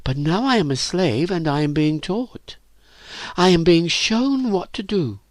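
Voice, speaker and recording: A man's voice: sits high, lively intonation, unhurried, no echo, studio quality, voice pushed up. A man voicing a timid, wistful young slave woman in an audiobook, earnest and full of self-doubt.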